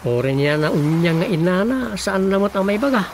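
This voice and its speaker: A man's voice: high voice, lively intonation, normal speed, slight background noise. An actor playing a sly, deferential village councilman in a radio comedy drama.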